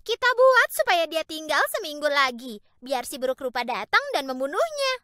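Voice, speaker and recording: A woman's voice: high-pitched, sing-song, normal speed, pushed and bright, pitched above the performer's own voice, full character voice. Woman doing jealous scheming sisters. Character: jealous scheming older sisters, young women.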